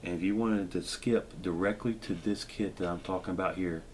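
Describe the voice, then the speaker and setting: A man's voice: deep voice, steady tone, moderate pace, slight background noise. A middle-aged man chatting casually, with frequent fillers, as he demonstrates a camping mess kit at a table and then in his backyard. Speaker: gear reviewer. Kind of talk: casual show-and-tell demonstration.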